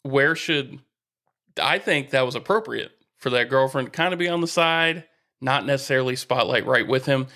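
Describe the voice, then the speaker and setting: A man's voice: medium pitch, normal speed, pushed and bright. An American man co-hosting a sports podcast in casual, bantering conversation.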